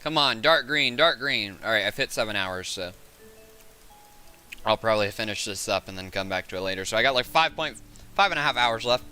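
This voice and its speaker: A man with a raspy, hoarse voice chatting casually on a game livestream, rambling and thinking aloud. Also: lowish voice, animated, fairly quick, sharp tone, faint music behind. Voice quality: raspy, sore voice. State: hoarse from hours of talking. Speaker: game streamer, host. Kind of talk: casual livestream chat while gaming.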